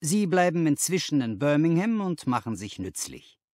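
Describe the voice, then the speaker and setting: A man voicing a brisk, patronising company boss in an audiobook, businesslike and used to giving orders. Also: fairly high pitch, big pitch swings, a little mellow, dry recording, studio quality, voice pushed up. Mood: brisk, commanding. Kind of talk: audiobook character dialogue.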